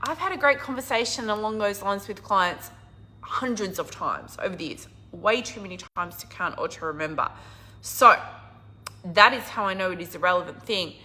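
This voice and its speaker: A middle-aged woman business coach with a hoarse, husky, croaky voice, talking casually and emphatically to a live audience. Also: mid-range voice, animated, moderate pace. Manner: enthusiastic, emphatic, swings from loud to quiet. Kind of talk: casual livestream talk.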